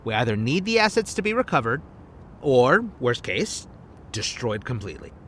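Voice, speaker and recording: A man's voice: medium pitch, sing-song, moderate pace, fairly bright tone, slight background noise. An actor playing a smug, teasing male secret agent in an anime-style audio drama. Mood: serious, awkward. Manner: teasing, cocky.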